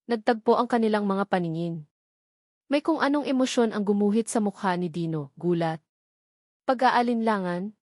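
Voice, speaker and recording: A woman with a Filipino accent reading scripted romantic drama narration in a measured, emotive way. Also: medium pitch, darkish tone, dry recording, studio quality. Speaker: audiobook story narrator.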